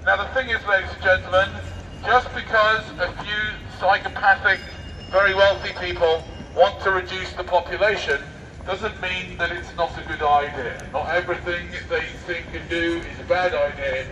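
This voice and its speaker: A man's voice: high voice, steady tone, normal speed, sharp tone. A middle-aged British man arguing in a public street debate, mocking and provocative, firing rhetorical questions through a megaphone. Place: busy outdoor city street. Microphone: megaphone picked up by a distant camera mic. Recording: megaphone outdoors, distant mic, street noise.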